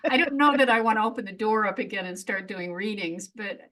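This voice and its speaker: A woman's voice: mid-range voice, fairly quick, fairly bright tone. A middle-aged woman speaking as a guest in a casual interview, frank and reflective, often laughing at herself. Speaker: memoirist guest.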